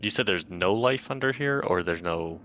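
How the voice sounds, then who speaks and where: Low creaky guy player, casual hesitant questions, dull lo-fi mic. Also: expressive, moderate pace, a bit bright. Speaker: tabletop game player. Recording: dull sound, faint background noise.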